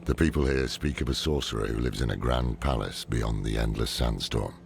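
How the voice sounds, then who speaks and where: An actor playing a weary, grim old demon-hunting scholar in a video game, gravelly, with terse, grave delivery. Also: male voice, extremely low pitch, a little fast, a little hiss or hum. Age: older. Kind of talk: dramatic video game dialogue. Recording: loud cinematic game music behind voice.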